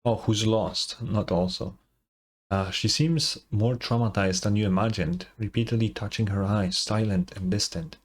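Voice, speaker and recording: A man's voice: fairly low pitch, normal speed. A young man, a gaming streamer, giving casual playthrough commentary and reading story text aloud.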